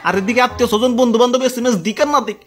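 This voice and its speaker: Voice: mid-range voice, fairly even intonation, very fast, very noisy, natural voice. A performer with a Dhaka accent voicing a fed-up young male phone customer in an exasperated comic cartoon rant. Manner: ranting, exasperated.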